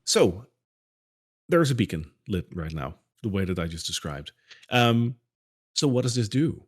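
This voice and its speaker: A man narrating a tabletop game as game master, with descriptive narration and quick asides. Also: fairly low pitch, sing-song.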